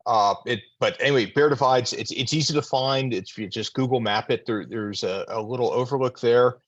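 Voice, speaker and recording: A man with a husky, breathy voice talks in a loose, rambling way, giving casual remarks to fellow society members. The call audio is dry and dull, with a lo-fi sound. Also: medium pitch, moderate pace, no echo. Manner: rambling, full of fillers and restarts.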